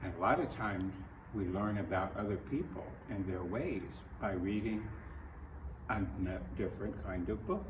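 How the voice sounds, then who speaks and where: A man reading a picture book aloud to children, speaking in simple, deliberate phrases and putting questions to the listener. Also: on the low side. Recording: roomy, distant mic, clearly noisy.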